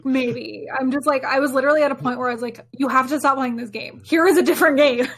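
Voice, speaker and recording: A woman with a slightly high-pitched voice talks casually as a podcast co-host. She rambles through stories about games, slipping into quoted asides as she tells them. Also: brisk.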